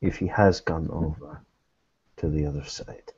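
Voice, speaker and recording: Slow, low-voiced man in measured role-play. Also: fairly even intonation, a little mellow, breathy edge, dull audio. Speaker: role-playing gamer voicing a private investigator. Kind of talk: slow in-character improvised dialogue.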